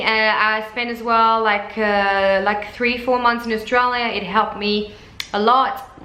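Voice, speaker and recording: Woman in her twenties from France, French first language, bright voice, relaxed chatty vlog delivery, echoey room. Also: medium pitch. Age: 20s. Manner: chatty, lively, with many fillers and restarts. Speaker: flight attendant vlogger. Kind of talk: casual talking-to-camera vlog. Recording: echoey room with faint background noise.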